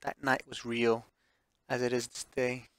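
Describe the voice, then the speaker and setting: A man's voice: mid-range voice, level tone, unhurried, a bit bright, no room sound. Man reading a game aloud, dry close sound. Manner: stumbles over words and corrects himself while reading. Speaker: streamer reading a visual novel aloud. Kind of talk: live playthrough read-aloud with offhand reactions.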